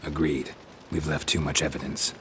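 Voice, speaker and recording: A man voicing a gruff, laconic monster hunter in a video game, gravelly and deadpan, with terse sardonic lines. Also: a bass voice, moderate pace, background noise. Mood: matter-of-fact. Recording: music behind the dialogue.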